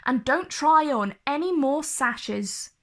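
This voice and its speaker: Storybook dwarfs, woman acting: small, kindly, eager, pitched well up high. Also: expressive, slow, heavily acted. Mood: anxious, urgent. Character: band of seven bustling, kindly little male dwarfs.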